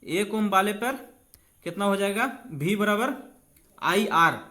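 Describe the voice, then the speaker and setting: A man's voice: high-pitched, expressive, takes it slowly, sharp tone. A male teacher. He reads out exam questions and explains them step by step in an informal way. He speaks to students like friends, repeats key phrases and slips in English technical words. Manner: mixes English technical terms into Hindi. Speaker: physics teacher.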